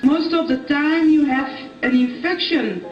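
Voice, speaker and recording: A woman's voice: high voice, unhurried. A woman from Belgium, giving personal testimony. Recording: echoey, distant, dull sound with music.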